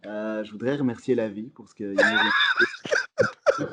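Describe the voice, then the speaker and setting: A man's voice: mid-range voice, wildly swooping pitch, a little slow, very noisy. A man with a Belgian French accent telling funny stories in a casual podcast chat, laughing often and filling with lots of fillers. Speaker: guest comedian.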